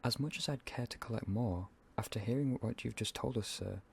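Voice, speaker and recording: A man playing a polite, wary science officer with a husky voice in a sci-fi audio drama. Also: medium pitch, a little fast. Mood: uneasy, deferential.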